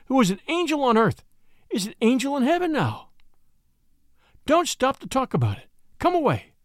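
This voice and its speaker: Guy doing a young lady pitched way up, upset and shrill, very dry sound. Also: creaky, dry recording. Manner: rising into shrill distress. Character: young lady, distressed and pleading.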